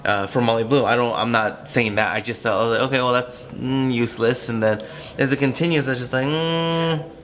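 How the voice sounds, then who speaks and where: A man casually giving blunt opinions on a film in a halting, conversational way. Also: mid-range voice, fairly bright tone, a little hiss or hum.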